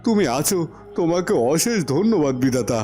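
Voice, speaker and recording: A man's voice: on the high side, sing-song, moderate pace, dark tone, soft background music. Emotional, long-suffering king, raised voice. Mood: overjoyed relief. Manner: emotional, emphatic, repeating phrases. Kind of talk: melodramatic cartoon voice acting.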